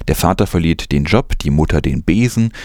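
A man's voice: low-pitched, lively intonation, fairly quick, a little mellow, dry recording, clean studio sound. A man presenting news as scripted narration.

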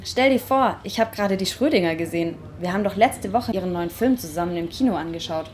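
A woman's voice: on the low side, expressive, quick pace, a little mellow, lightly acted. A woman playing a confused, insistent young woman in an amateur radio play, with some noise on the recording. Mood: calling out eagerly.

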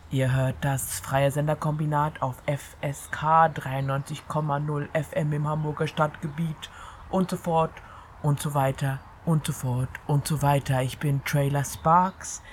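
A woman hosting a free radio show in an informal, conversational monologue, speaking directly to the listener. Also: low-pitched. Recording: clear background noise, outdoor ambience. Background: ambience through an open window.

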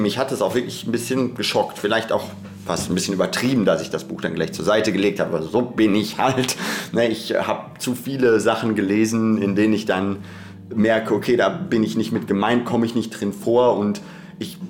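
Podcast host: man with a lowish voice, thinking aloud in abstract terms, halting and meandering, faint music underneath. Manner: hesitant, digressive, searching for words. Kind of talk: reflective, theoretical podcast talk.

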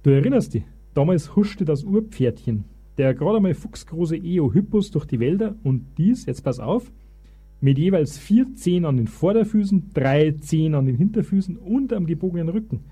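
An actor playing an eager, pedantic Bavarian husband who lectures as a self-styled expert in a comic radio play. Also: male voice, a little high, lively intonation, moderate pace, dark tone, husky. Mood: pompous lecturing. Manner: pompous, long-winded.

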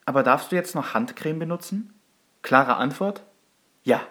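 A man's voice: a little high, lively intonation, moderate pace, bright, forceful tone. A man playing a social media advice poster, reading scripted information in an instructive way. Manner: instructive, asks and answers himself.

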